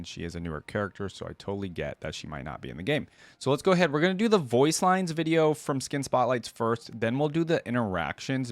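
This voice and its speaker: A man hosting a reaction video, reading aloud and thinking out loud in a casual, conversational way. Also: on the low side, no echo.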